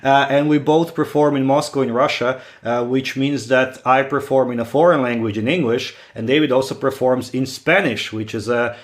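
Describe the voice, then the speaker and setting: A man's voice: mid-range voice, fairly even intonation, normal speed, hardly any pauses. Croatian-born male comedian, podcast co-host, dry and argumentative. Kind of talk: casual podcast chat.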